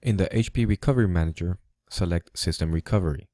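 A man narrates a computer how-to in a plain, instructive way, pausing now and then with an um. His voice sits very low and sounds dark and relaxed. The recording is dry, close on a desk microphone. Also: dry recording. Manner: plain, instructive, slightly halting. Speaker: tutorial host. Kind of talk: step-by-step tech tutorial voice-over. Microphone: USB studio microphone.

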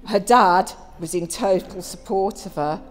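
A woman's voice: mid-range voice, unhurried. A middle-aged English woman, mother of the bride, reading a wedding speech from notes into a handheld mic in a careful, heartfelt way. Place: glass-walled wedding reception room. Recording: hall reverb with music under it.

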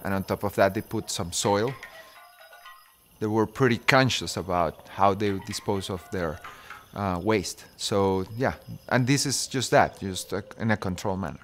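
A man's voice: on the low side, moderate pace. A man in his thirties with a Colombian accent, a systems engineer explaining his project earnestly in an interview. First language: Spanish. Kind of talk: documentary interview. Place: indoor maker workshop. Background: background music.

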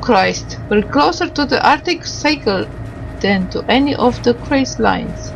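A woman doing a casual game playthrough, reading the on-screen dialogue aloud in a halting, tentative way and sounding tired. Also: a little high, animated, gravelly, muffled recording, music in the background.

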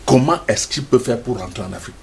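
A man's voice: mid-range voice, lively intonation, fast talker, creaky, a little hiss or hum. An older man speaking as a studio guest in a passionate debate, emphatic and stammering on repeated words.

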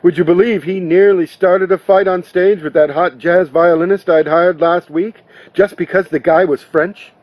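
A man voicing a glad-handing nightclub owner in a storybook, chummy and persuasive, with a husky voice. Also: high-pitched, steady tone, normal speed, darkish tone, pitched above the performer's own voice, close to an everyday voice. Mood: grumbling.

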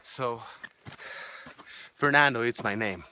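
A man's voice: medium pitch, slow, bright, forceful tone. A man in his early thirties with a Mexican accent, a native Spanish speaker, chatting casually and jokingly to viewers on a handheld camera. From Mexico City, Mexico. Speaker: vlogger. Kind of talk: casual vlog chat to camera. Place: outdoors, walking on a mountain forest road. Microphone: phone at arm's length. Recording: noisy outdoor handheld recording.

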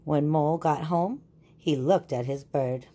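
A woman reading a children's picture book aloud and explaining it in a deliberate, teacherly way. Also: sits low, dark tone.

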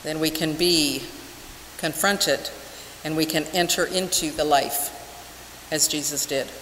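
Casting: older female minister, very deliberate slow sermon delivery, reverberant sanctuary. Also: deep voice, fairly even intonation, a bit bright. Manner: measured, deliberate, with emphatic pauses. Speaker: minister preaching. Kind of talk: church sermon. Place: large wooden church sanctuary, at the pulpit. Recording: echoey church hall with hiss.

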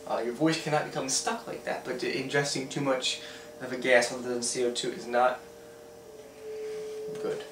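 An earnest young man explaining a home experiment at a middling pitch. He restarts his sentences often and clears his throat. The voice is roomy and distant, with a steady hiss, from a camera mic across a small room. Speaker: video host. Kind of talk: home science demonstration. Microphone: camera mic from a few feet away.

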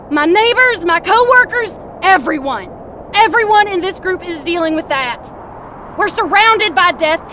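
A woman acts out a grieving, fierce young woman in a comic dub. Her voice is very high and forceful, with an impassioned, scolding delivery. There is faint noise behind her. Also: full character voice. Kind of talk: comic dub drama acting.

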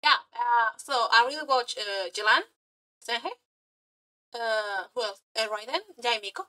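A woman streamer chatting casually to viewers in a gushing, flirty fangirl manner, halting and repeating her words as she goes. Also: a little high, sharp tone. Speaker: aspiring VTuber and streamer. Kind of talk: casual reaction chat to viewers.

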